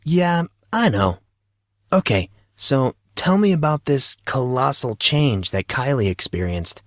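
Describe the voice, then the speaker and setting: An awkward, wisecracking schoolboy of fourteen in an audio story, acted out by a man. His pitch is lifted well up and his delivery is flippant and joking. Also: sing-song, unhurried, no room sound, studio quality.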